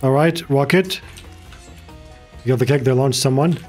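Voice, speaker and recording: A man's voice: mid-range voice, normal speed, darkish tone. Arabic-speaking man streaming, music behind. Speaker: game streamer. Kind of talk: casual gaming commentary. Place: small indoor room, streaming setup. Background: game music.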